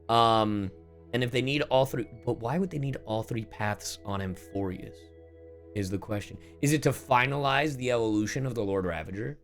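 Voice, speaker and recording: A man giving live gameplay commentary as a streamer, thinking out loud in a halting, exclaiming way. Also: mid-range voice. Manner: musing, exclaiming, halting as they reason. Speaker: game streamer. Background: game music and dialogue.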